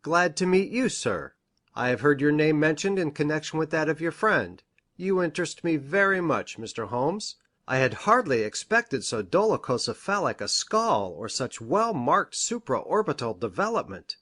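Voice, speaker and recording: A woman's voice: low voice, big pitch swings, normal speed, a bit bright, pitched below the performer's own voice, exaggerated voice. A woman voicing an eager, eccentric male country doctor in an audiobook, speaking with formal, precise, effusive earnestness. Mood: effusive, fascinated. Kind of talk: audiobook character voice.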